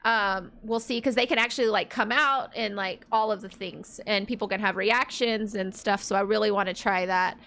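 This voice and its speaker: A woman's voice: medium pitch, steady tone, moderate pace, hardly any pauses, bright, forceful tone. A woman streaming a life-sim game, chatting casually and rambling with lots of fillers, her voice congested. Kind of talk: casual let's play chat.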